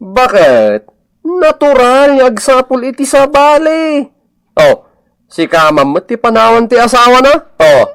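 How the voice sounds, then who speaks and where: A performer plays a jovial, teasing busybody in an Ilocano radio comedy drama. The Ilocano-accented voice takes its time, swings up and down in pitch and chuckles through the talk. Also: sing-song, unhurried, fairly bright tone. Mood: amused.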